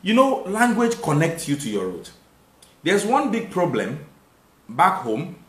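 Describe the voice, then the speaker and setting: A man in his thirties from Nigeria with a Nigerian accent, hosting a live stream, reading out viewer comments, thanking viewers and speaking with lively conviction when making a point. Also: high-pitched, measured pace. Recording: echoey room.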